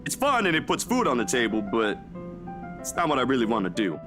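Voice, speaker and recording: A modest, easygoing young man talks in a middling pitch, as a photographer in a visual novel. Music plays clearly behind his lines. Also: wildly swooping pitch, brisk. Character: Zachary, easygoing young male freelance photographer, modest and passionate.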